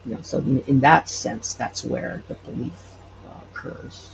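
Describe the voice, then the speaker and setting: A man explaining things in casual interview talk, as a podcast guest, in a halting way. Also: medium pitch, creaky. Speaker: podcast guest, local storyteller. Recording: muffled, with faint background noise.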